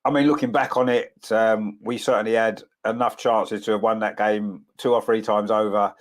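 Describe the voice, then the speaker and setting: A man with a cold, sounding croaky as he hosts a casual football chat show, full of "of course" and tag questions. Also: fairly low pitch, flat delivery, moderate pace, a little hiss or hum. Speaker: podcast host.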